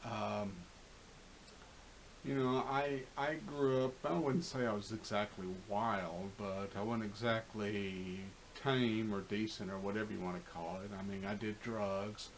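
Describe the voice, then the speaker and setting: A man's voice: fairly low pitch, steady tone, unhurried, a little hiss or hum, low-quality recording. Rambling, swearing man in his fifties. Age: 50s. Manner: rambling, candid, profane, with many "you know"s and restarts. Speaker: fitness vlogger. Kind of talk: casual monologue to camera.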